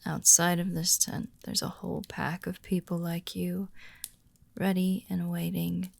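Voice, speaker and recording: A woman performs a calm female werewolf of the pack in an intimate audio roleplay. Her voice is lowish and very gravelly, often sinking to a breathy near whisper. She speaks slowly and gently, reassuring a newcomer with care. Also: dry recording, heavily acted. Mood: warm, welcoming. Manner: slow, gentle, reassuring, with deliberate pauses. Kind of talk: soft-spoken immersive ASMR-style audio roleplay.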